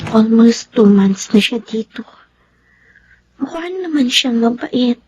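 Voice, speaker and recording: A woman acting an earnest, trusting young single woman in a Filipino radio drama, speaking in a measured, emotive way. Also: on the high side, animated, a little mellow, slight background noise. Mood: earnest, reflective. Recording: dull lo-fi radio drama with music.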